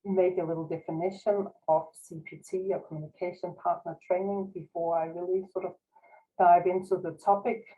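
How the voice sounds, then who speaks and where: A woman's voice: lowish voice, level tone, moderate pace, narrow, tinny audio. A woman from Denmark with a Danish accent giving a modest, careful online lecture with frequent restarts as a university researcher. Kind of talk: online presentation. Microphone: video call.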